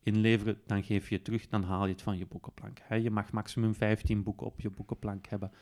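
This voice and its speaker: A man's voice: fairly low pitch, flat delivery, quick pace, a little mellow. Flemish man giving a casual walkthrough. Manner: informal, explanatory, often tagging sentences with "hè". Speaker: podcast presenter demonstrating an app. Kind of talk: casual step-by-step software walkthrough.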